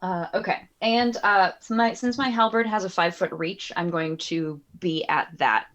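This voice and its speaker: A woman's voice: on the low side, steady tone, moderate pace, fairly bright tone, dull audio. A woman playing a tabletop game, talking casually and playfully as she describes what her character does. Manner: playful, jokey. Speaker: player.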